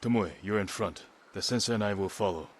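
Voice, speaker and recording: An actor playing a resolute samurai lord in a video game, speaking in a measured, firm and serious way. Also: male voice, fairly low pitch, a little hiss or hum, natural voice. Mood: commanding. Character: resolute young samurai lord, calm and commanding. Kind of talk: dramatic video game acting. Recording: outdoor game ambience behind the voice.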